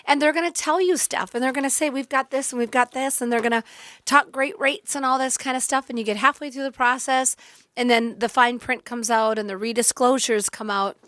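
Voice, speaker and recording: A woman co-hosting a radio show as a mortgage lender, speaking in animated, emphatic casual talk. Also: high voice, fairly quick, no echo.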